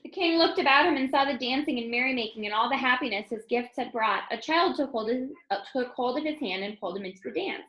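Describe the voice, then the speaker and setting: A woman reading a children's story aloud as an expressive narrator. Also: on the high side, fast talker, few pauses. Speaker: storybook reader. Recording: roomy, echoing room sound.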